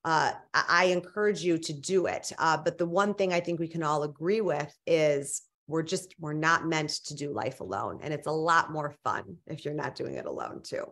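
A woman's voice: low voice, normal speed, hardly any pauses, fairly bright tone. A young woman guest author on a video call from home, answering earnestly and often restarting her sentences as she searches for words. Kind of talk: casual interview answers. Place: home living room indoors. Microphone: video call webcam.

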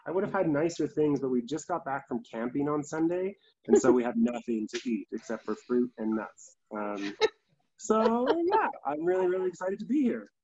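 A man's voice: a little high, sing-song, moderate pace, dark tone. A man in his twenties with a Canadian accent, chatting casually as a guest on a video call. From Calgary, Canada. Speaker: theatre artistic director and guest. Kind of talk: casual chat. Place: small room indoors. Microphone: laptop on video call. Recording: dull video call audio.

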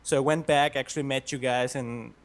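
A man's voice: medium pitch, fairly even intonation, fairly quick. A young man from Austria with an Austrian German accent, calling in as a fan over a video call, talking hesitantly with many fillers. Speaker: caller, fan.

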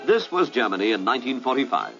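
A man's voice: medium pitch, very animated, creaky, over music. British newsreel man, dramatic rise and fall. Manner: formal, measured, emphatic newsreel delivery. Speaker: narrator. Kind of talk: scripted 1950s public information film narration. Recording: muffled vintage 1950s film soundtrack.

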